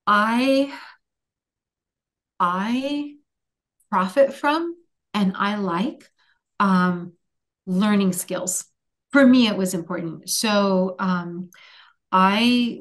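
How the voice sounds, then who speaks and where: A woman in her forties whose first language is Hungarian, answering interview questions thoughtfully over a video call from a room at home, with many pauses and restarts. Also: mid-range voice. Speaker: author guest.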